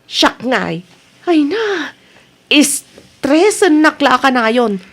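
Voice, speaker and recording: A woman playing an aggrieved, complaining Ilocano wife and mother in a radio comedy drama, with an Ilocano Filipino accent and an exasperated, expressive manner. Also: high voice, drawn-out words, full character voice.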